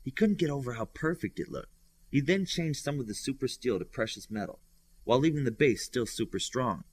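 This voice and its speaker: A man's voice: mid-range voice, lively intonation, a little fast, slightly rough. A man reading scripted narration from a superhero novel as an audiobook reader.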